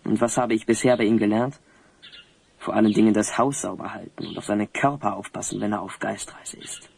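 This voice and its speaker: An actor playing a put-upon wizard's apprentice, complaining sarcastically and self-importantly in a husky voice for a fantasy audio play. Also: male voice, on the low side, fairly quick, darkish tone, no room sound. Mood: grumbling, sarcastic.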